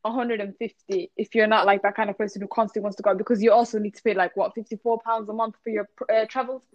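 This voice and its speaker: A woman's voice: medium pitch, flat delivery, quick pace, no echo, muffled recording. A young woman student chatting casually and frankly, with plenty of filler words. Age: young adult. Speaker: student co-host. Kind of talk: casual chat.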